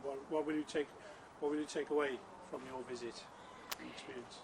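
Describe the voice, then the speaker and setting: Man, cameraman-interviewer, halting; clear background noise and vehicles. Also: mid-range voice, fairly even intonation. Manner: hesitant, halting questions.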